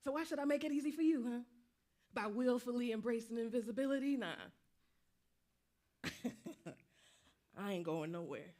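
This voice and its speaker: A woman's voice: fairly high pitch, expressive, normal speed, long pauses, mellow, natural voice. A woman acting restless female spirit, dead soldier, taunting, insistent, vernacular speech, stage drama. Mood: defiant.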